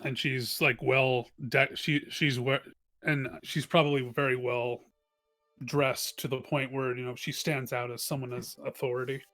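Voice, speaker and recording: A man's voice: medium pitch, level tone, unhurried, pushed and bright, faint music behind. A man playing a tabletop roleplaying game, chatting casually and thinking aloud with frequent stumbles.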